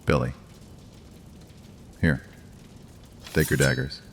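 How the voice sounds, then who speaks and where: Very deep-voiced, wry male vampire leader by an actor. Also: steady tone, slow pace, slight background noise, exaggerated voice. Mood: businesslike. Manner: dry, sardonic banter. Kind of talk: dramatic audio fiction with natural banter.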